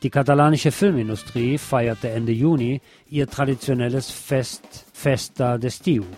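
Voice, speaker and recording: A man's voice: medium pitch, fairly even intonation, a little slow, darkish tone. A man presenting news items as a scripted magazine-style read. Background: music.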